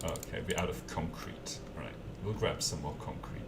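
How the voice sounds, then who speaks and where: A man's voice: a bass voice, normal speed, very noisy. A man from Germany with a mild German accent and an Australian twang, chatting easygoingly with viewers while he builds in a game. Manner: easygoing, chatty, answers viewer questions between tasks. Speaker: game streamer. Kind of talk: casual live-stream chat while building in a game. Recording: distant mic with keyboard typing behind.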